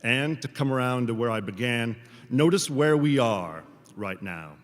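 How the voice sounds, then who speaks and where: A man gives a formal, prepared address in a large hall with long reverb. His voice sits at a middle pitch. He phrases deliberately, setting short clauses apart with rhetorical pauses, as a professor speaking at a graduation. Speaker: professor giving a commencement speech.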